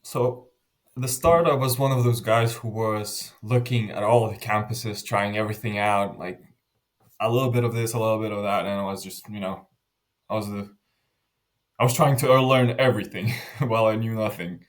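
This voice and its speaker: Young lithuanian-born guy in his 20s, lowish voice, earnest, keeps restarting sentences. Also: steady tone, moderate pace. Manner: earnest, frequent restarts and fillers, trailing off softly. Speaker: interview guest. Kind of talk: casual interview answers.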